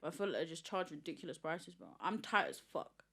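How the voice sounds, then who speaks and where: Fast-talking husky East London woman. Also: big pitch swings, a bit bright. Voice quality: deep husky voice. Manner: quick, slangy, swearing freely. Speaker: podcast guest. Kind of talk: casual podcast chat.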